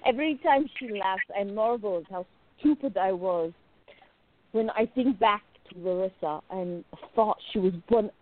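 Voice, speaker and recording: A woman playing a jealous, besotted young woman confiding in her diary in a radio play, intimate, expressive and musing. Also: medium pitch, normal speed, lightly acted. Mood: curious, musing. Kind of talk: dramatic first-person diary narration in a radio play. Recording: narrow phone-like lo-fi sound.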